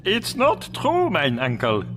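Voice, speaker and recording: A woman's voice: on the low side, wildly swooping pitch, slow, faint music behind, exaggerated voice. A woman playing a smug, scheming alien child genius in a sci-fi audio drama.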